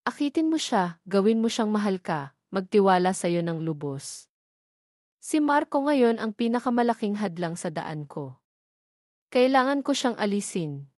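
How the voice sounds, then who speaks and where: A woman's voice: medium pitch, sing-song, unhurried, darkish tone, no echo, clean studio sound. A woman voicing a cold, commanding schemer who gives terse, imperious orders in an audio drama.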